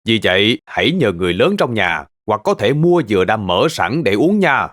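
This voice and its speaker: Cartoon farm owner, played by a man: friendly adult, animated delivery, gently explains facts to kids. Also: fairly low pitch, normal speed. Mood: friendly, instructive. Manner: patient, explanatory, addressing children gently. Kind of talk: children's cartoon dubbing, educational.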